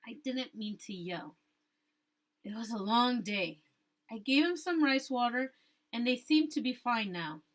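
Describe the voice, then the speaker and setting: A woman's voice: fairly high pitch, animated, unhurried. A woman voicing an overwhelmed, defensive teenage girl in a storybook read-aloud. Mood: apologetic, tired.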